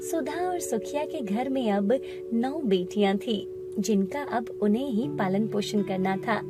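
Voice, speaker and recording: A woman narrator reading a scripted moral story for an animation. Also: fairly high pitch.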